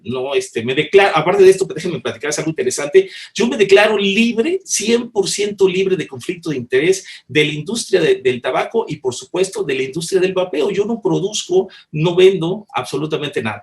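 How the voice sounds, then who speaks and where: A slightly high-voiced man speaks at a conference panel. He argues earnestly, stumbling and restarting, and leans on plain everyday examples, with the sound of a video call. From Mexico. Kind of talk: conference talk.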